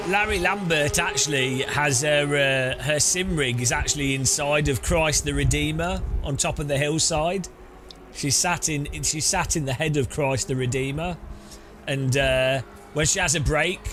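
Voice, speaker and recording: A man's voice: on the high side, measured pace. A man streaming a sim racing game, chatting casually and teasing his viewers with rambling jokes. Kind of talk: casual live-stream chat while driving. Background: loud electronic music.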